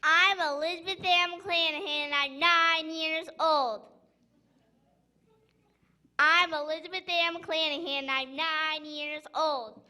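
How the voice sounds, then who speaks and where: A woman's voice: sits high, fairly even intonation, slow, pushed and bright, exaggerated voice. A woman playing an earnest little girl at a talent audition in a radio comedy sketch.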